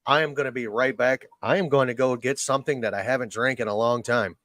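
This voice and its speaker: A man's voice: medium pitch, fairly even intonation, quick pace, a bit bright, no room sound, studio quality. A man in his early forties chatting casually and cracking jokes with other fish keepers. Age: early 40s. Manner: joking, easygoing. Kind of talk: casual chat.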